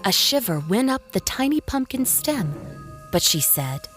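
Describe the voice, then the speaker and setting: A woman narrating a scripted children's story in a gentle, expressive, measured way for very young listeners. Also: on the high side, over music.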